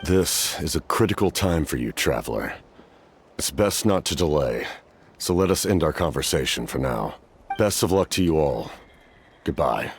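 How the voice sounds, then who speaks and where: An actor playing a grave, weary, centuries-old masked warrior captain in a video game, speaking formally and with measured solemnity. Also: male voice, extremely low pitch, lively intonation, a little hiss or hum. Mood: grave, courteous.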